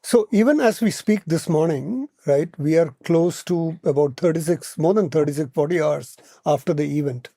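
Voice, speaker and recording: Male analyst, Indian accent, warm dark timbre, close dry sound, measured explanatory delivery with repeated words. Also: on the high side, dry recording. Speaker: podcast guest, policy analyst. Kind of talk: explanatory podcast discussion.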